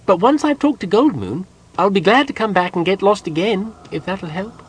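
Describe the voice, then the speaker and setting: A man's voice: high-pitched, lively intonation, moderate pace, breathy edge, pitched above the performer's own voice. A man voicing a cheerful, polite, chatty small male kender wanderer in a fantasy audiobook. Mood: apologetic, bright. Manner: polite, eager, apologetic.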